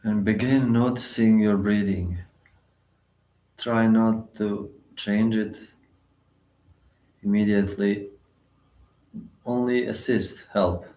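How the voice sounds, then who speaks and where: A man leading a guided meditation, giving instructions in short, sparse phrases with long pauses between them. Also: lowish voice, steady tone, warm tone, roomy sound, rough recording.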